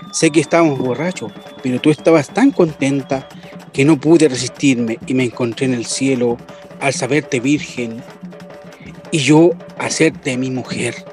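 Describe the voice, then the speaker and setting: Un actor de radionovela que interpreta a un amante venezolano despechado e insistente, melodramático, con acento venezolano. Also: male voice, mid-range voice, a little mellow, close to an everyday voice. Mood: wistful. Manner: earnest, melodramatic. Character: spurned, insistent lover demanding the truth. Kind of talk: radio soap opera acting.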